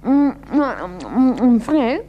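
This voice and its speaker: An actress playing a jealous, sarcastic, dramatic wife in a Dutch radio play. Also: female voice, high-pitched, slow, lo-fi sound. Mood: teasing, sarcastic.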